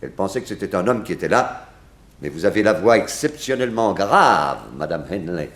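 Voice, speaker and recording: An actor playing a blunt, accusing small-town sheriff, insistently interrogating in 1960s radio drama style. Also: male voice, deep voice, lively intonation, fairly quick, fairly bright tone, echoey room. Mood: accusing, forceful.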